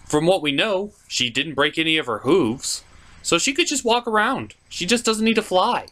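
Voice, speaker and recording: A man narrates a scripted comedy review as the show's host. His pitch swings widely from phrase to phrase, and his delivery is theatrical, with a put-upon, exasperated air. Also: animated, normal speed, sharp tone. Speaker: host of a puppet cartoon review show.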